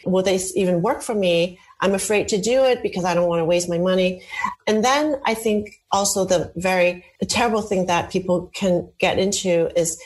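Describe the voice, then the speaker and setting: A woman answering interview questions in long, measured explanations as a representative of a fertility company. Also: medium pitch.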